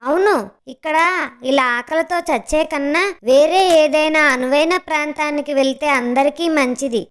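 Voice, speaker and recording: A woman's voice: high voice, moderate pace, natural voice. A woman voicing a small, childlike, cautious penguin in a children's cartoon, speaking in a worried, earnest way. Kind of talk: children's animated story acting.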